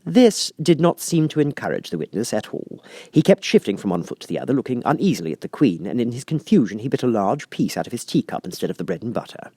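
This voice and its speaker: A man narrates a children's storybook expressively. His voice sits in a medium register but rises and falls dramatically, giving the reading a very animated shape. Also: mid-range voice, quick pace, husky, no echo, studio quality. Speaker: audiobook reader.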